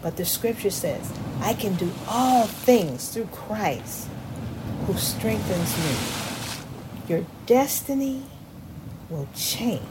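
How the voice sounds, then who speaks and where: A woman preacher delivering a sermon, stretching her words for emphasis. Her pitch rises and falls widely while she keeps a slow pace. Clear background noise sits under the recording. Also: lively intonation. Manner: dramatic, drawn-out emphatic phrasing. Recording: noisy recording with background ambience.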